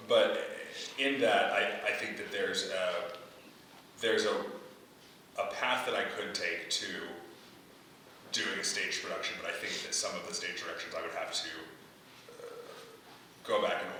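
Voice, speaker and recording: Low voiced guy answering notes on his play, keeps rewording, super echoey, mic far off. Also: sharp tone. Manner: hesitant, thinking aloud, often rewording. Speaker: playwright answering audience feedback. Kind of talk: unscripted post-reading discussion. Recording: echoey room, distant mic, background noise.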